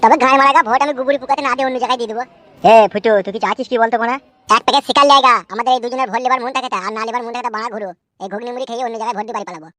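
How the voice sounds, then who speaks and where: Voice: medium pitch, steady tone, rapid-fire, sharp tone, no room sound, natural voice. A performer with a female-sounding voice playing a brash, foul-mouthed cartoon villager who argues in crude slang. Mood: angry, defiant. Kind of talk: comic cartoon dialogue.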